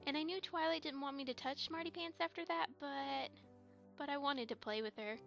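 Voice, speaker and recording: A woman's voice: fairly quick, creaky, a bit bright, soft background music. A woman voicing a shy, earnest young filly in an audio drama, childlike and a little hesitant. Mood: sheepish, earnest. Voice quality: childlike, high. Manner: hesitant, earnest, stammering over confessions. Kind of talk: fan audio drama acting. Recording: slightly dull, lo-fi microphone.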